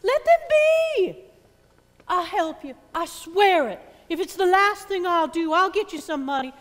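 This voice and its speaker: An actress plays a desperate, outraged woman on stage. Her very high voice swoops widely as she pleads and scolds, ringing through a reverberant theater. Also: big pitch swings, a little slow, exaggerated voice.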